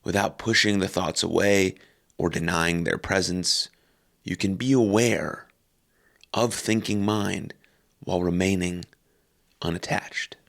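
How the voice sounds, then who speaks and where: A man leading a guided meditation calmly and unhurriedly, in short phrases with long pauses. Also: sits low, vocal fry.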